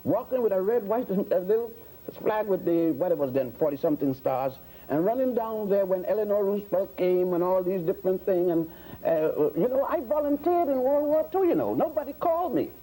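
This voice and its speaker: An older man from Puerto Rico and the Virgin Islands, holding forth as a guest historian in a studio interview. His husky, mellow voice swings widely in pitch as he lists facts, stumbling through fillers and restarts along the way. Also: animated, a little fast, low-quality recording. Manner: lecturing, listing facts, with many fillers and restarts.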